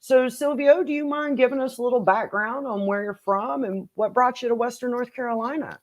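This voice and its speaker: A woman's voice: on the high side, brisk. Enthusiastic woman hosting. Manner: enthusiastic, laughs readily, restarts phrases. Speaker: host. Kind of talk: hosting a casual interview.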